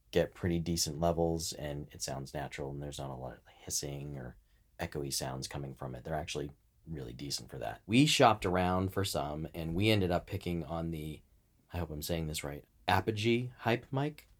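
A man's voice: very deep voice, normal speed. A man co-hosting a podcast, explaining things in a casual, conversational and instructional way. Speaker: podcast co-host.

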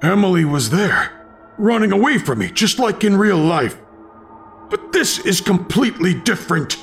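A male narrator acts out a frantic, enraged man in a horror story. The voice leaps high, strained and desperate, as he confronts his double. Music sits behind it. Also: very animated, normal speed. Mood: distressed.

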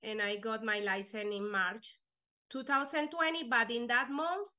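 A young woman whose first language is Spanish, talking earnestly in halting English with fillers as an interview guest. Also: on the high side, steady tone, sharp tone. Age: young adult. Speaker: guest, life insurance agent. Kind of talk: casual interview chat.

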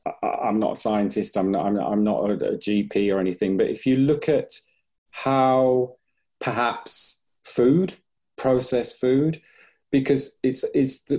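A man's voice: fairly low pitch, dark tone. A man who works as a breathing coach, speaking in a podcast interview, thinking aloud, restarting his sentences and acting out what his clients say. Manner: thoughtful, restarts often, acts out clients' voices.

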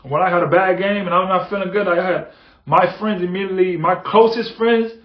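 A man's voice: high-pitched, a little fast. A man hosting a motivational podcast, talking earnestly and repeating phrases for emphasis. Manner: earnest, repetitive, building emphasis. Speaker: podcast host. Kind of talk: motivational monologue. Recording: echoey, dull lo-fi room sound.

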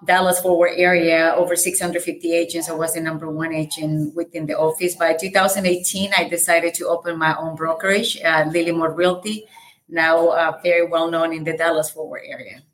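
A woman from El Salvador speaks English with a light Salvadoran Spanish accent, her first language being Spanish. Her voice sits a little low, and she talks in energetic bursts with fillers. She is a guest on a casual podcast. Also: brisk, rough recording. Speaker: guest, real estate brokerage owner.

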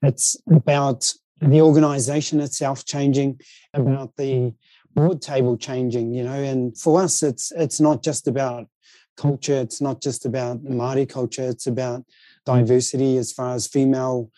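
A man with a New Zealand accent talks as an interview guest in a considered, conversational style. His voice is soft-edged and very dark in tone, at an average pitch. The sound is close and dry. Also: steady tone, moderate pace, dry recording. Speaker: interview guest, board chair and speaker.

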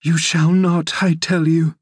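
A male narrator plays a dying young woman in a classic audiobook drama, pitched well up from his usual voice. She is passionate and desperate, pleading in breathless, sobbing bursts. The sound is dry and close. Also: flat delivery, slow, husky, dry recording. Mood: desperate, insistent. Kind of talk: dramatised classic novel reading.

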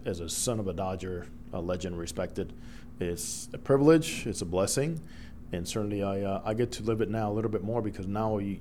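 A middle-aged man from the Dominican Republic whose first language is Spanish, a broadcaster telling lively stories as a guest in an interview. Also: fairly low pitch, slight background noise. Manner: animated storyteller, acts out quoted voices. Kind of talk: storytelling interview.

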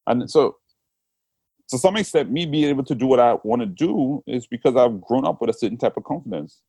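A man's voice: mid-range voice, lively intonation, fairly quick, mellow, husky, no room sound. A man from Trinidad with a Trinidadian accent, talking reflectively as a poet in a casual interview. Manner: reflective, with frequent restarts and "you know what I'm saying". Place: England.

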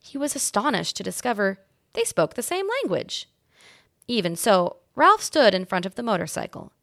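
A woman reading a children's chapter book aloud as a guest reader, with expressive storybook narration. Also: medium pitch, moderate pace, vocal fry, no room sound, clean studio sound.

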